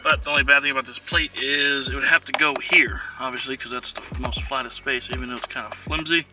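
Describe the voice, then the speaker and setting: A man with a mid-pitched voice presents a casual how-to vlog. He speaks informally, thinking aloud as he works, with music clearly audible in the recording. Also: steady tone, a little fast. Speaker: vlogger and trucker showing a DIY install.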